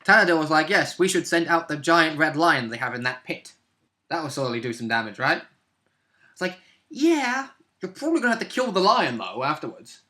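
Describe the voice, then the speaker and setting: A man's voice: on the high side, expressive, fairly quick, pushed and bright. A man in his twenties chatting casually as a player in a tabletop game, recorded in a room at home. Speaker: player and co-host. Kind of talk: casual chat about a game. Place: small furnished room at home, indoors.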